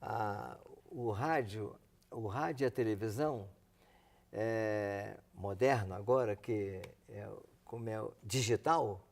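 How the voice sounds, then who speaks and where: Gravelly older Brazilian man, halting. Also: lowish voice. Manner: halting, repetitive, emphatic. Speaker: veteran radio drama performer. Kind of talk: reminiscing in conversation.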